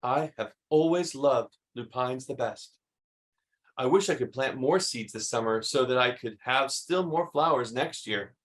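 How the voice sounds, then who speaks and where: A man voicing a wistful, adventurous grown woman from a storybook in an expressive, exclamatory way. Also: medium pitch, unhurried, close to an everyday voice. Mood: delighted.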